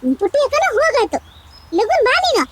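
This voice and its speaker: Someone voicing a very high-pitched nagging village mother. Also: wildly swooping pitch, fairly quick, a bit bright, a little hiss or hum, exaggerated voice. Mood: exasperated. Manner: nagging, grumbling, coaxing. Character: exasperated village mother scolding and coaxing her son. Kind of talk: cartoon dialogue in colloquial village speech.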